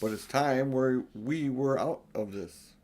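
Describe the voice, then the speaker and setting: A man's voice: medium pitch, takes it slowly, a little mellow, close to an everyday voice. A man voicing a practical male beaver in a children's storybook, blunt and homely. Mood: impatient.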